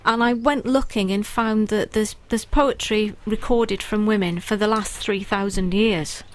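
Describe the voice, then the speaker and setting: A woman's voice: mid-range voice, steady tone, a little slow, a little hiss or hum. A woman guest on a radio interview, talking earnestly and thoughtfully about poetry with frequent ums. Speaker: guest poet and writer.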